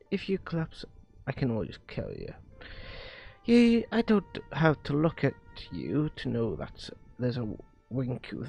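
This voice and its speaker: A man with a gravelly, fry-heavy voice reads visual novel dialogue aloud on a stream. He takes it slowly and haltingly, stumbling and rereading lines. Faint music plays behind him. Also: a little high, a little mellow.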